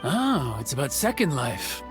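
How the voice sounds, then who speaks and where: A man's voice: medium pitch, very animated, measured pace, over music. Kindly old village craftsman for a game, folksy, bit hesitant, very up and down. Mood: genial. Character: genial, direct old male village carpenter. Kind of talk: video game voice acting.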